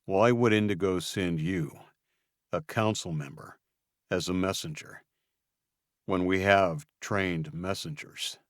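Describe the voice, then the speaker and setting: A man voicing a stern male leader who questions slowly and quietly with cold menace. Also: no echo, studio quality. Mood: cold, probing. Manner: low, slow, quietly menacing.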